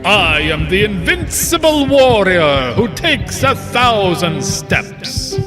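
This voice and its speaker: A man's voice: high voice, exaggerated voice. A man playing a boastful intruder who poses as an invincible warrior in a children's bedtime story. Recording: long hall reverb, music bed.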